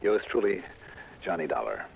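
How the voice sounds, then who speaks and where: An actor playing a cool, wry, unflappable investigator who also narrates in a 1960s radio drama. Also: male voice, low voice, very animated, normal speed, gravelly, heavy background noise, full character voice. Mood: wry, satisfied. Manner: wry, unruffled. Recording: dull old radio recording, music behind.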